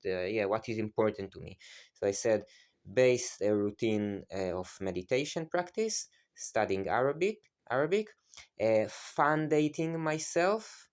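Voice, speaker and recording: Guy w a hebrew accent musing about his life, lots of ums and restarts, low smooth voice. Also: a little mellow. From Israel. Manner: hesitant, many fillers and restarts, searching for words. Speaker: vlogger. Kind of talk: reflective personal monologue.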